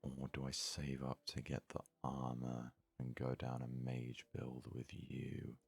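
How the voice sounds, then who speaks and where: Very deep, creaky-voiced man murmuring softly. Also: monotone, faint music behind. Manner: unhurried, murmuring, thinking aloud. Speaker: let's play host. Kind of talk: soft-spoken ASMR gaming commentary.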